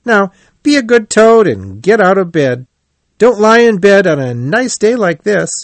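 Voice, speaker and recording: Guy doing a chirpy high male rat from a kids animal story, brisk, no-nonsense, bouncy pitch. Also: big pitch swings, dry recording, full character voice. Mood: cheerful, brisk. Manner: bright, brisk, practical. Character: cheerful, brisk, concerned male water rat.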